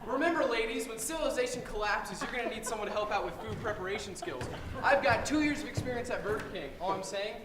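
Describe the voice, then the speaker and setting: A man's voice: sits high, quick pace, distant mic, close to an everyday voice. A man playing a goofy, lovelorn survival-guide presenter in an amateur stage comedy, recorded from afar in an echoey, noisy hall. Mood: hopeful, pleading. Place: indoor stage of a school performance.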